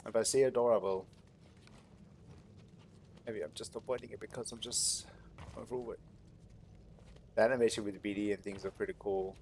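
A man's voice: on the low side, fairly even intonation, normal speed, long pauses, a little mellow. A man giving casual gameplay commentary, rambling and thinking aloud as he hosts. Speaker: gaming video host. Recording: clear background noise, game sound. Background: video game ambience.

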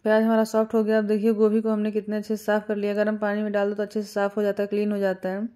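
Woman, cooking host, calm step-by-step explainer, mellow and even delivery. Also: medium pitch, rapid-fire. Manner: calm step-by-step instructions with small fillers. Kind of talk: home cooking tutorial voice-over.

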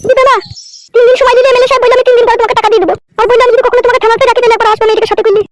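A woman's voice: very high-pitched, flat delivery, rapid-fire. A woman voicing a brash, scheming village woman in a comedy cartoon, gossipy. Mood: reassuring, brash. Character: brash, scheming village women chatting conspiratorially. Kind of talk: amateur comedy cartoon dialogue.

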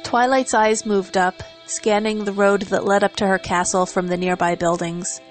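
A woman reading a story as narrator, in a measured, expressive, dramatic style. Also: medium pitch. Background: music.

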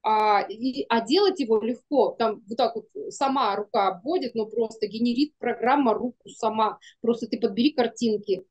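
A woman's voice: a little high, normal speed, hardly any pauses, a little mellow, lo-fi sound. A woman around forty speaking casually in a conversation, informal and rephrasing as she goes. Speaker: animation studio producer. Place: indoors in a room, on a video call.

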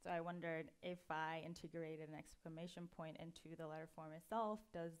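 A woman in her thirties giving a relaxed, chatty talk at a conference, often pausing with ums. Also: low voice, clean tone. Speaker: conference speaker. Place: dark auditorium, at a lectern before an audience.

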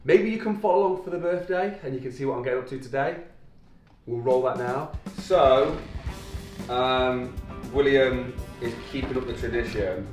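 A man's voice: medium pitch, moderate pace, faint music behind. A man in his twenties vlogging in a casual, upbeat way, with plenty of fillers, filmed indoors in a studio. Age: 20s. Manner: chatty, upbeat, loose with fillers. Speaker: vlogger and filmmaker. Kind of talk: casual vlog chat. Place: studio office and lounge indoors. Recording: roomy, distant, faint noise.

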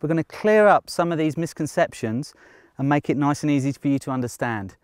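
A man's voice: on the high side, brisk, clean tone, no echo, clean studio sound. A man in his thirties giving a ski lesson outdoors on a snowy slope, explaining in a plain, upbeat way with light jokes. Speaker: ski instructor.

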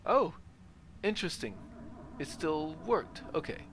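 A man's voice: fairly high pitch, big pitch swings, a little slow, background noise. A man giving casual gameplay commentary, thinking aloud as he plays through a video game. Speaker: gamer narrating own playthrough. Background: game ambience.

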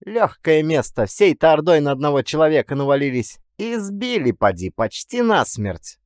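A male narrator plays a peasant woman cook, pious and sharp-tongued. The voice is raised high and swings up and down in folksy laments and scolding, as in an acted storybook, recorded dry. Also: big pitch swings, moderate pace, no room sound. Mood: scornful, biting. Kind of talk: acted audiobook character.

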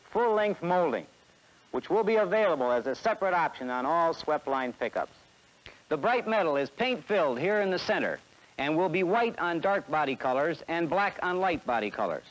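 A man's voice: fairly high pitch, very animated, normal speed, low-quality recording. A man in his forties with an American accent giving a scripted sales presentation in a 1960s promotional film. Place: film studio beside a truck.

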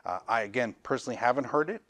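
A middle-aged man gives a casual product talk in a medium-pitched voice. He rambles as he explains and often qualifies his own opinions. The sound is dry, with very little room on it. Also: expressive, racing, a bit bright, no room sound. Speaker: audio gear seller and reviewer. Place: indoors, small cluttered audio gear shop.

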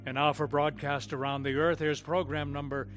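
Solemn male radio announcer, slightly high-pitched. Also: flat delivery, a bit bright, soft background music. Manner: measured, solemn, theatrical. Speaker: narrator and announcer. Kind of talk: scripted dramatic radio narration, old-time radio manner.

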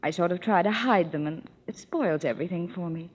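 An actress playing an anxious young housewife in a 1930s radio commercial, speaking haltingly and self-consciously. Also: female voice, fairly low pitch, breathy edge. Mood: worried. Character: anxious young housewife worried about her hands. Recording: dull old radio broadcast.

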